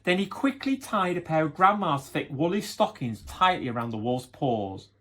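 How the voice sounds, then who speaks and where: Animated man reading a children's story. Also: fairly high pitch, moderate pace. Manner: playful, dramatic. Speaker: guest story reader.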